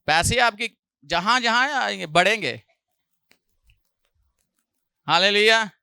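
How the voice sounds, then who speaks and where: Bright-voiced preacher. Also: male voice, high voice, animated, slow pace, creaky, smooth, no room sound, studio quality. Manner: preaching with loud rises on hallelujah and jokey asides. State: sore throat, clearing his throat. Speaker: pastor. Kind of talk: sermon.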